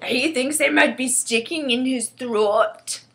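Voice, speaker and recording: A woman's voice: high voice, slow, vocal fry, a bit bright, voice pushed up, heavily acted. A woman voicing a big male giant from a storybook, stubborn and gloomy, speaking emphatically in muddled grammar. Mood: matter-of-fact. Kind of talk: storybook character voice.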